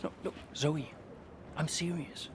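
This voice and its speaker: A man playing a weary veteran racing driver, gruff but soft-hearted, curt and grudging in a dramatic game cutscene. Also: on the high side, steady tone, takes it slowly, noisy background. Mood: hesitant, sincere. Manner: curt, grudging, hesitant when opening up.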